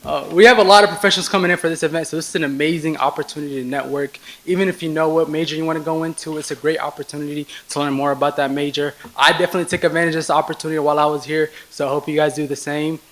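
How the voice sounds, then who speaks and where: Quick-talking young man welcoming a crowd. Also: fairly high pitch, steady tone, fairly bright tone. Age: early 20s. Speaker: student organizer opening an event. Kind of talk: casual welcome speech.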